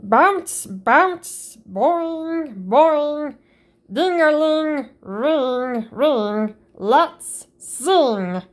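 Slow sing-song woman reading aloud. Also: expressive. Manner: slow, sing-song, jumps high on playful sound words. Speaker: storybook reader. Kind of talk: children's read-aloud.

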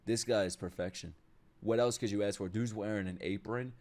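A young man giving casual, excitable commentary as he reacts to an anime, swearing freely and breaking into shouts and laughter. He talks into a boom-mounted desk mic at home. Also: brisk. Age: young adult. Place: home streaming room. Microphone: boom-mounted desk mic, wearing headphones.

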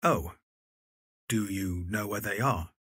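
A man playing a lovelorn, defensive young Victorian musician in a period audio drama, with a husky voice. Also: on the low side, takes it slowly, a bit bright, no echo, clean studio sound, full character voice. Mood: surprised, dismayed.

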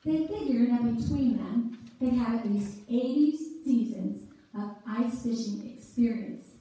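A woman's voice: a little high, measured pace, mellow. A woman reading scripted narration live as a readers theater narrator, recorded from the audience in a church. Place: church sanctuary, before an audience. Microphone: far camera among the audience. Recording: echoey church hall from far off.

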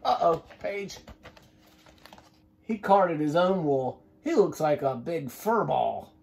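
A man's voice: a little high, animated, slow, creaky, a little mellow. A middle-aged man reading a children's storybook aloud with playful, folksy asides. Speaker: storybook reader. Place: small furnished room indoors.

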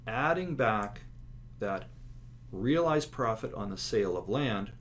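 Male lecturer, lowish voice, explanatory delivery with stressed key words, faint background noise. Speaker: accounting instructor. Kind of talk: lecture explaining slides step by step. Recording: clear steady background noise.

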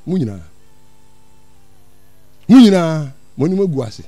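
Older Ghanaian man, preacher. High voice, big swings in pitch, emphatic and repetitive denunciation. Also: sing-song, normal speed, dark tone, no room sound, clean studio sound, soft background music. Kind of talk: impassioned prophetic sermon in Twi with English phrases.

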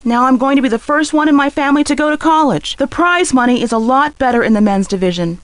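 An actress playing a driven, defensive high school girl in a video game, speaking in a guarded, emphatic way. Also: expressive, fast, no echo. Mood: proud.

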